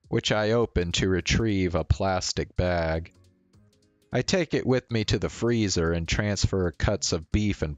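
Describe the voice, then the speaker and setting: A man reading a visual novel aloud as a streamer, in measured narration with the odd stumble. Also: sits low, no echo. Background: faint music.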